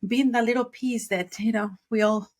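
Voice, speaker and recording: A woman's voice: fairly high pitch, steady tone, moderate pace, a little mellow. A woman from Mexico whose first language is Spanish, chatting casually as a podcast host. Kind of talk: casual interview chat.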